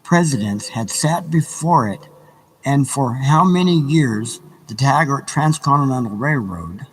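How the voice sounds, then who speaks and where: A man reads a story aloud as a live audio play narrator. His voice sits at a middle pitch and his delivery is measured and deliberate. Ambient background noise runs underneath. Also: lively intonation, long reverb tail, poor audio. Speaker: narrator and reader. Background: ambient background sounds.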